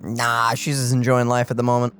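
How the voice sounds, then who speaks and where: A woman's voice: very deep voice, completely flat delivery, a little fast, over music. A woman doing casual, offhand and playful let's play commentary. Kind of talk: casual gameplay commentary, reading along.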